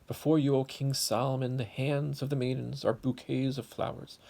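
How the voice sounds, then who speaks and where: A wise, regal queen, voiced by a man reading aloud sets a challenge in formal, measured words. The voice stays close to the reader's own, low and gravelly, and only a little raised. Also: steady tone, warm tone. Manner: formal, testing.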